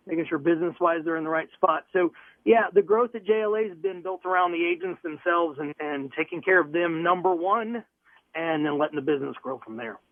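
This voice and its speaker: A man's voice: high-pitched, dry recording. A man from Southwest Missouri, a real estate broker, answers radio interview questions in an upbeat, earnest and plainspoken way over the phone. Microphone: telephone. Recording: tinny phone line.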